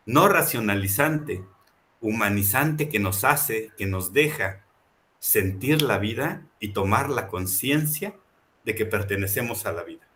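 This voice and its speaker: A man's voice: fairly low pitch, bright, forceful tone. A man presenting a book in a reflective, impassioned talk, building his points through rhetorical repetition. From Mexico.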